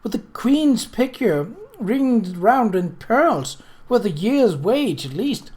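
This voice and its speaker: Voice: medium pitch, expressive, slow, darkish tone, voice pushed up. A reader voicing a timid, fretful young maid in an audiobook, with plaintive servant speech. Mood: fretful, stricken. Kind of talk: acted audiobook dialogue.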